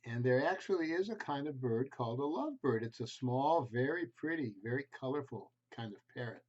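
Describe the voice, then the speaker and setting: A man reading a picture book aloud to young children on camera, adding gentle explanatory asides. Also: medium pitch, animated, brisk. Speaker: children's storyteller. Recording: slightly muffled home video audio.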